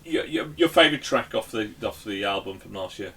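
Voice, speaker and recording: A man's voice: lowish voice, expressive, fairly quick, bright, forceful tone, slightly rough. A man hosting a casual interview in a jokey, rambling way, often repeating himself. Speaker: interview host.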